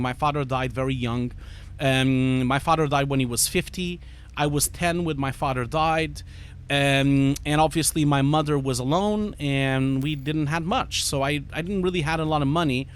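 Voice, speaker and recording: A man's voice: mid-range voice, moderate pace, clean tone. A man of about forty from Portugal with a Portuguese accent, chatting casually and ramblingly on a livestream while gaming and answering viewers. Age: 40s. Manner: rambling, easily distracted, informal with swearing. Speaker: livestream host, visual effects artist.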